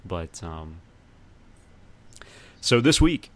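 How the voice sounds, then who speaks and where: Low-voiced man hosting a podcast. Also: very animated, very slow. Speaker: podcast host. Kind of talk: casual podcast chat. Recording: noticeable background noise.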